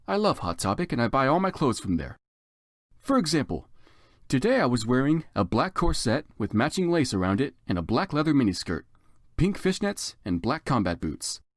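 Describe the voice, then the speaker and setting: A man's voice: medium pitch, no room sound, studio quality. A man giving a dramatic, comedic story reading as narrator, with theatrical and exaggerated emphasis.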